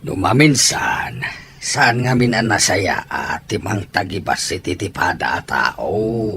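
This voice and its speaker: Slow, low Filipino uncle played by an actor. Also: male voice, animated, vocal fry, bright, forceful tone, a little hiss or hum, heavily acted. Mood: frank, reproving. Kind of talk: radio drama acting in Ilocano.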